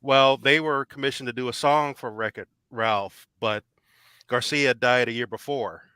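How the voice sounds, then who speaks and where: A man's voice: mid-range voice, moderate pace, pushed and bright, smooth, dry recording. A man chatting casually on a panel, sharing bits of trivia in an informative way. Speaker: podcast panelist. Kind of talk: casual chat.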